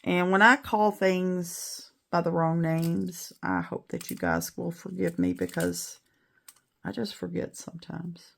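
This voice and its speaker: A middle-aged woman with a raspy voice after an illness, chatting casually and rambling a little while she shows how to make a beaded bracelet. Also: lowish voice, level tone, measured pace. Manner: chatty, rambling, self-deprecating. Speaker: beading video host. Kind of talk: casual tutorial chat.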